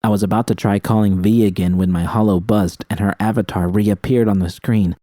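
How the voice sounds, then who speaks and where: A man's voice: lowish voice, steady tone, brisk, dark tone, no echo, studio quality. A man reading first-person fiction as an audiobook narrator, with dramatic storytelling delivery.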